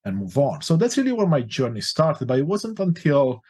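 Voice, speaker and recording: A man's voice: on the high side, big pitch swings, moderate pace, a little mellow, breathy edge. A forty-year-old man from Romania, a life coach telling his life story in an interview, earnest and open. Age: 40s.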